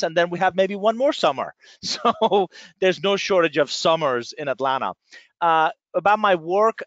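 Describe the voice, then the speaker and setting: A man's voice: on the high side, no echo. Chatty middle-aged man from Iran, webinar audio. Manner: energetic, chatty with frequent fillers. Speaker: guest speaker. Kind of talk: webinar presentation. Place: his office. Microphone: computer mic on a webinar call. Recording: dry, dull lo-fi webinar audio.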